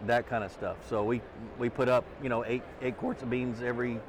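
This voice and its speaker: A middle-aged man with a loud voice, talking earnestly as a podcast guest and repeating words as he thinks. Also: medium pitch, level tone, brisk, background noise. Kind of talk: casual interview conversation. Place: trade show booth, outdoors.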